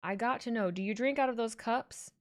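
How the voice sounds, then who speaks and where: A woman's voice: a little high, fairly quick. A young woman, a streamer, chatting casually and jokingly while she reads her chat aloud during a watch-along.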